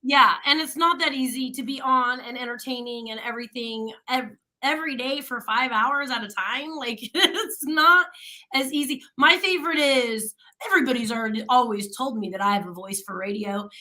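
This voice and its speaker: A woman's voice: a little high, moderate pace, bright, forceful tone. A woman radio host in casual chat with her co-host, chatty and laughing often.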